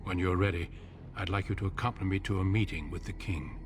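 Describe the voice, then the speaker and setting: An actor playing a grave, composed veteran warrior commander in fantasy game dialogue, measured and formal. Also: male voice, deep voice, steady tone, noisy background, close to an everyday voice. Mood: solemn, composed.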